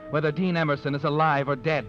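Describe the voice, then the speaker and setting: An actor playing a sinister, all-knowing narrator, mocking and dramatic, in 1940s radio noir style. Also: male voice, fairly high pitch, flat delivery, quick pace, lightly acted. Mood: ominous, taunting. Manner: ominous, mocking, dramatic, addresses the character. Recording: muffled old radio recording. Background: dramatic music.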